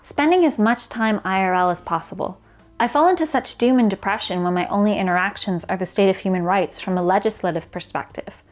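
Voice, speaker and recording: A woman's voice: mid-range voice, brisk. A woman reading out a personal testimony as a guest contributor. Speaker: guest reader of a written community response. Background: background music.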